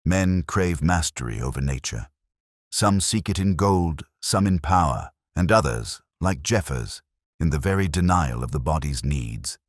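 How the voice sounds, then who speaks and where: A man voicing a grave, incisive detective in a mystery audiobook, speaking in measured, solemn, oratorical phrases. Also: rumbling low, animated, no room sound, studio quality, heavily acted. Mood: reflective, solemn. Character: grave, incisive male detective explaining a case.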